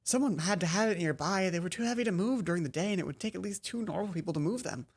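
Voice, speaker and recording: A man reading the first-person narration of a young female student in a game, hushed and suspenseful. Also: high-pitched, fast.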